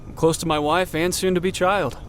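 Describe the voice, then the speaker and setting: Higher-pitched dutiful co-pilot man over loud engines. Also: fairly even intonation, normal speed, lightly acted. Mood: friendly. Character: young male co-pilot, dutiful, soon to be father. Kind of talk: naturalistic audio drama acting. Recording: loud aircraft engine noise.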